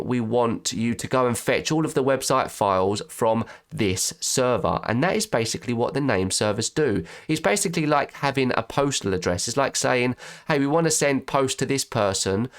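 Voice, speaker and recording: A man talks through a screen-recorded tutorial with a stuffy nose and a slightly croaky voice. His delivery is chatty and informal, full of quick restarts and corrections as he works on screen. His pitch sits in a middle range for a man. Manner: chatty, restarts and self-corrects while clicking. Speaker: web design tutor.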